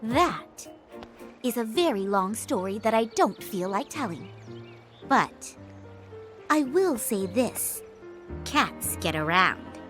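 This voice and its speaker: A woman's voice: fairly high pitch, big pitch swings, takes it slowly, pauses often, a bit bright, soft background music, heavily acted. A woman voicing a bossy, sassy catgirl innkeeper in a video game, with a teasing, wry manner. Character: sassy, bossy female innkeeper catgirl, older than she looks. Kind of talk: animated video game cutscene acting.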